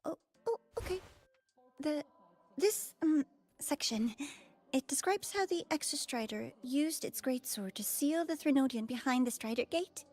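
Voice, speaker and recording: A woman's voice: high voice, lively intonation, measured pace, darkish tone. A woman voicing a young female assistant in a video game, reading out records in an earnest, hesitant way. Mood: flustered, hesitant.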